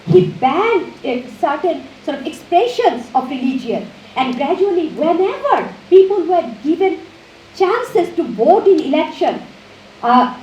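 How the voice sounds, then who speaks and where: A woman's voice: sits high, dark tone. A woman from Bangladesh, a native Bengali speaker with a Bangladeshi accent, gives an academic lecture as a professor, often repeating and rephrasing her phrases. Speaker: university professor giving a lecture. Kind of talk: academic lecture, partly extemporized. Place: university auditorium. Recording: muffled, echoey hall with background noise.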